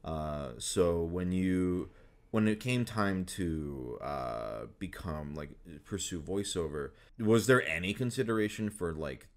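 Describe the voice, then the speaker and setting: A young man with a very low, deep voice hosts a relaxed interview. He talks in halting bursts, full of restarts and fillers, as he gathers his thoughts. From United States. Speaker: host, voice actor. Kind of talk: casual interview chat.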